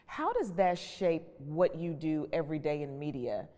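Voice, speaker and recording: A woman's voice: low voice, animated, moderate pace, dark tone. A woman hosting a panel interview, putting questions in a conversational way. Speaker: host and moderator. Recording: long echoing reverb.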